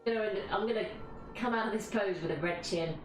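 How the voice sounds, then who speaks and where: A woman's voice: medium pitch, brisk. London woman teaching yoga on a stream, chatty n informal, super echoey room, mic way off. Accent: London English. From London, England. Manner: chatty, informal, banters with viewers. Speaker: yoga instructor and live streamer. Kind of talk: casual live yoga class with chat to viewers. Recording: echoey room, distant mic, music behind. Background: music playing.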